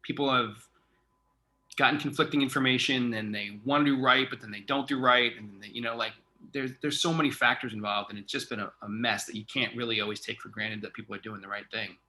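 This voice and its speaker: A man's voice: medium pitch, steady tone, a little fast, sharp tone, low-quality recording. A man hosting a casual interview, talking in an informal, enthusiastic way. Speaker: interview host, artistic director.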